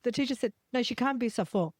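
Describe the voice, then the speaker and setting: A middle-aged Australian woman hosting a podcast, telling family stories in a chatty, animated way and acting out quoted voices. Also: on the high side, fast, no room sound, studio quality. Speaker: podcast host. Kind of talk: casual storytelling chat.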